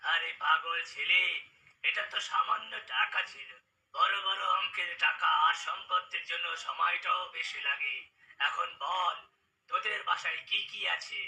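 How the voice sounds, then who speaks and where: A man voicing a sly, grandiose cartoon holy-man conman with slow, solemn, drawn-out speech. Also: a little high, bright, forceful tone, far from the mic, slight background noise, exaggerated voice, poor audio. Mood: mysterious, grand. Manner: slow, drawn-out, pompous pronouncements.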